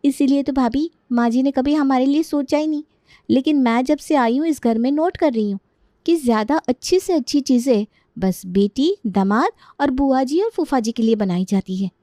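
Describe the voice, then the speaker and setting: A suspicious young bride acted by a woman in an audio story, outspoken and insistent. Her voice is high, recorded dry and close. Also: normal speed, warm tone, no room sound, close to an everyday voice. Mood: insistent, resentful.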